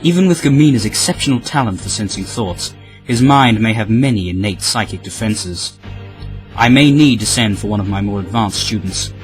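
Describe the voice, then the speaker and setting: Man as a low-voiced learned magician. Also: animated, moderate pace, faint music behind, lightly acted, poor audio. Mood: concerned. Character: learned male magician, measured and authoritative. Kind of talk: fan radio play acting.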